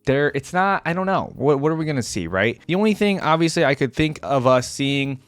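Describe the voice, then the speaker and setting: A man's voice: fairly high pitch, expressive, fairly quick, clear, resonant tone, no room sound. A man hosting a reaction video, talking casually and off the cuff in a rambling, conversational way. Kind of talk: casual unscripted video commentary.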